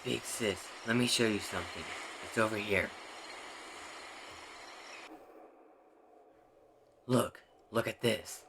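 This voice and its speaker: Man acting stubborn young outcast, gravelly, noisy recording. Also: lowish voice, moderate pace, fairly bright tone. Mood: calm, inviting. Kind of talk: amateur anime fan dub.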